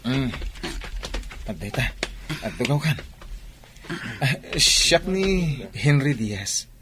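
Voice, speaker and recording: An actor playing a polite, sweet-talking young student in a radio drama, with a Filipino accent. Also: male voice, medium pitch, very animated, slow, noisy background. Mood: solicitous. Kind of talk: Ilocano radio drama acting.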